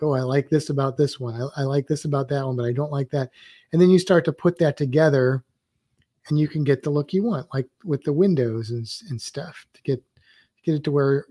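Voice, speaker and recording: A man's voice: a little high, a little fast, dark tone, no room sound, clean studio sound. A man teaching drawing on a live stream, rambling loosely and thinking aloud while he sketches.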